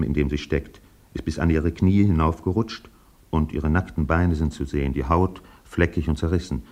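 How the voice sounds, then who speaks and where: An actor playing a brooding narrator in a poetic radio play, speaking in a measured, solemn and deliberate way. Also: male voice, extremely low pitch, a little mellow.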